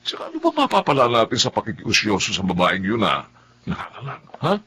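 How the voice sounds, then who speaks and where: An actor playing a weary, grumbling working-class husband in a radio drama, exasperated and colloquial. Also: male voice, lowish voice, huge pitch swings, a little fast, pushed and bright, slight background noise, exaggerated voice. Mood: tired exasperation. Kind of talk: Filipino radio drama acting.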